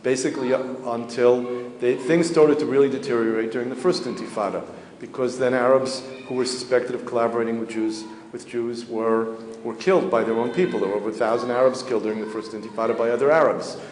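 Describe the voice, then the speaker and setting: A man, a community spokesman answering journalists' questions in a measured, matter-of-fact way, pausing to search for words. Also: medium pitch, steady tone, a little mellow. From United States. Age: 50s. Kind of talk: press interview answers. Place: indoor hall. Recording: echoey hall, faint ambient noise.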